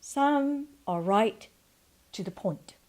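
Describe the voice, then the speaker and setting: A woman in her sixties reading a folksy memoir aloud as a storyteller, expressive and deliberate, with pauses. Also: on the high side. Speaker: storyteller and audiobook narrator. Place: quiet room at home, indoors. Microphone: microphone on a stand before her, seated.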